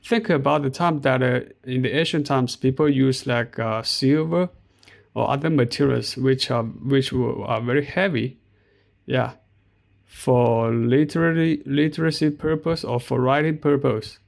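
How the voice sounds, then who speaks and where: A middle-aged man from China with a Chinese accent, narrating a walking tour of an outdoor park in slow, halting speech full of fillers. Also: mid-range voice, gravelly. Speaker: vlogger.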